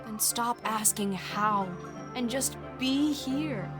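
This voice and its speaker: A woman's voice: fairly high pitch, takes it slowly, music in the background. A woman playing a playful, defiant young boy adventurer in an audio drama, with a blunt, childlike manner. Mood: wistful.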